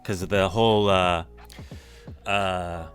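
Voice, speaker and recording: A low-voiced man chats on a podcast, agreeing and thinking his ideas through as he talks. Faint music runs underneath. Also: steady tone, slow, fairly bright tone. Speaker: podcast co-host. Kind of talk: casual chat.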